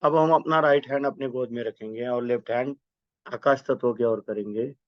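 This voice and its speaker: A man's voice: medium pitch, moderate pace, muffled recording, slight background noise. A man leading a guided meditation with prayers, speaking in a reverent, instructional manner.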